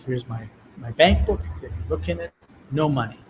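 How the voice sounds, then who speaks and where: A man with a Canadian accent, a podcast host, telling a travel anecdote in lively conversation and acting out the people he quotes. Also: mid-range voice, normal speed, noisy background. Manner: animated anecdotal telling, acts out quoted voices. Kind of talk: casual storytelling in conversation. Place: on a call from abroad. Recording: clear music playing behind.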